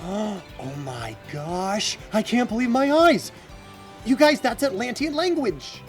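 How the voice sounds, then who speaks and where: A man playing an excitable, knowledgeable adventurer and supportive friend in an audio drama. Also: very high voice, takes it slowly, faint music behind, full character voice. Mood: amazed. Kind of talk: scripted audio adventure acting.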